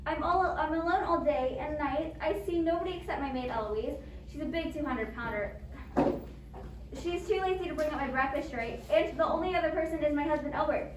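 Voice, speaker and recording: Woman acting high-voiced, fretful invalid wife in echoey room. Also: brisk, natural voice. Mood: anxious, fretful. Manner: agitated, stammering, insistent. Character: anxious, demanding bedridden invalid wife, fretful and self-absorbed. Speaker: amateur drama club actor. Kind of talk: stage adaptation of a radio suspense play. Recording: roomy echo, distant mic, background noise.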